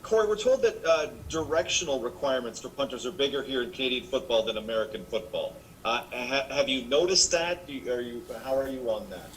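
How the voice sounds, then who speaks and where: Male sports reporter, mid-pitched, off-mic with faint rumble, questioning an athlete. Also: lively intonation, a little fast, hardly any pauses. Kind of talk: press conference questioning. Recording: distant mic with rumbling noise.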